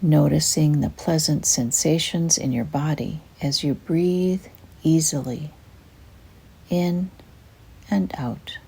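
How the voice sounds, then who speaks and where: An older woman with a soft, breathy, husky voice, gently and soothingly narrating a guided meditation in an unhurried way. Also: deep voice.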